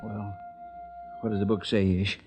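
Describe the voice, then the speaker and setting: A man's voice: fairly low pitch, level tone, measured pace, over loud music, natural voice. An actor playing a worried, plainspoken rural man with folksy country grammar, in 1940s radio drama style. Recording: muffled old radio recording.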